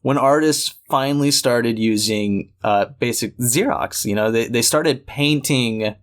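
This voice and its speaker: A man's voice: mid-range voice, unhurried, clear, resonant tone. A man with a distinctly nasal voice chats loosely on a podcast. He rambles, laughs easily and swears without thinking. He is recorded at home on a podcast microphone. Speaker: podcast co-host. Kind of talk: casual chat.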